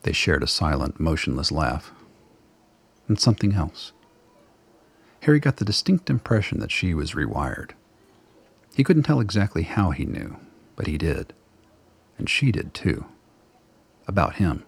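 A man narrating a radio play in a measured, dramatic, literary storytelling style. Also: extremely low pitch, sing-song, gravelly, husky.

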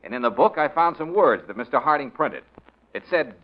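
A wry, take-charge private detective played by a man in a vintage radio drama. He is brisk and dryly patient, with a very lively, swinging delivery. The recording is dull and muffled. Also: mid-range voice, natural voice. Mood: calm, focused. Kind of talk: 1940s-50s radio detective drama acting.

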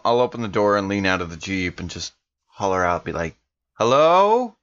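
A man's voice: low-pitched, sing-song, moderate pace, fairly bright tone. A man playing a tabletop game, improvising casually in character, on a slightly dull recording.

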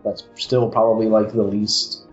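A man's voice: lowish voice, level tone, unhurried. Wry man in a roomy recording. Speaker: tabletop game player. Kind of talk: casual group chat. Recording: roomy muffled audio, music bed. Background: music.

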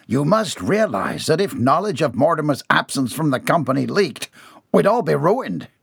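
A man acts out a stern old shipping boss for an audiobook. He is forceful and accusing, with a bellowing streak and a voice pushed up from a normal male pitch. The sound is close and dry. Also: sing-song, normal speed, creaky, slightly rough, no room sound, heavily acted. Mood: stern, urgent. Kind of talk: dramatised audiobook dialogue.

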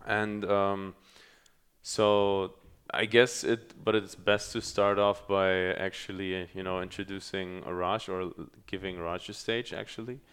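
A man from Germany hosting a podcast, speaking in a halting way with many pauses and restarts. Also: low voice, flat delivery, fairly bright tone, clean tone. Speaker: podcast host. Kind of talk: casual podcast chat.

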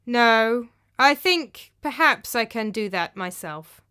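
Woman playing a forceful, brooding Greek tyrant. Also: medium pitch, expressive, normal speed, lightly acted. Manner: commanding, abrupt. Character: angry, brooding male tyrant of ancient Greece.

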